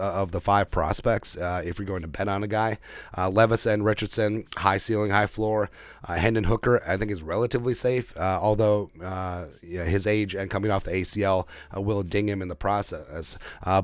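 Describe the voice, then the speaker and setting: A man with a fairly low voice talks through football draft opinions as a podcast host. He is emphatic and speaks off the cuff, often restating a point to drive it home. The sound is dry, with very little room to it. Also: dry recording.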